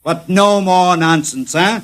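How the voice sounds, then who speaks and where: An actor in a vintage radio drama playing a busy, condescending bureau chief, brusque, sardonic and exasperated. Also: male voice, sits high, takes it slowly, a bit bright, slight background noise. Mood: dismissive. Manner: dismissive, sardonic.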